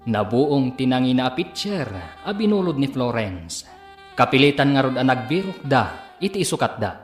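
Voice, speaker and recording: A man's voice: medium pitch, very animated, normal speed. A man with an Ilocano accent announcing and narrating a radio drama in a scripted, dramatic style. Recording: echoing studio, music bed. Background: instrumental music.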